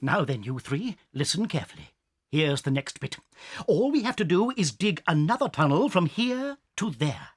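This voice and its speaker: Exuberant, high-pitched father fox. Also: sing-song, normal speed, husky. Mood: calm, leading. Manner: exuberant, theatrical, commanding. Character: jubilant, cunning father fox leading his children. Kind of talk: dramatized children's audiobook acting. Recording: noisy audio.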